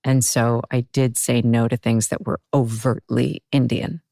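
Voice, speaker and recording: A woman with a deep voice, chatting reflectively as an interview guest and laughing easily. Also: flat delivery, a little slow, creaky, dark tone, dry recording, studio quality. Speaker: guest actor. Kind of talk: casual interview chat.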